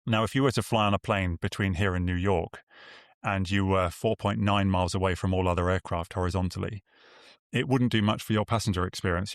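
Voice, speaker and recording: Man, very deep voice, dry close-miked sound, measured articulate explainer, podcast interview guest. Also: gravelly, no room sound. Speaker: air traffic expert and podcast guest.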